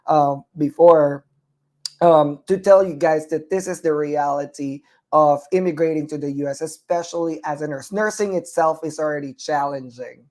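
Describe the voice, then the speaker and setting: A man's voice: fairly high pitch, normal speed, a little mellow. A man with a Filipino accent, a nurse practitioner co-hosting a talk show, giving earnest, encouraging advice with many fillers and restarts. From Philippines.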